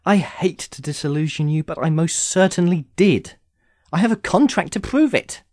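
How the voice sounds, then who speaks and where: A man's voice: fairly high pitch, expressive, normal speed, a little mellow, no echo. A man playing an urbane immortal sorcerer in an audio drama, speaking formally with dry, sardonic impatience. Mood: dry, matter-of-fact. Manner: wry, formal, theatrical phrasing.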